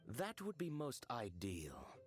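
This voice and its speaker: A man voicing a cold, aloof male agent who speaks deliberately and makes veiled threats. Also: mid-range voice, wildly swooping pitch, soft background music. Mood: menacing. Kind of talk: game voice acting.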